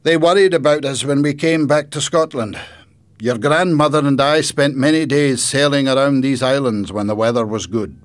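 A man voicing a kindly older grandfather in a storybook, proud and a little sad, speaking in an emphatic, deliberate way. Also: mid-range voice, voice pushed up, lightly acted. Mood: reminiscing.

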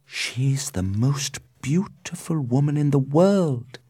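Man playing a lovestruck young nobleman, earnest, raised pitch, exclaiming, storybook reading. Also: huge pitch swings, moderate pace, dark tone, slightly rough, no echo, heavily acted. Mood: smitten, earnest.

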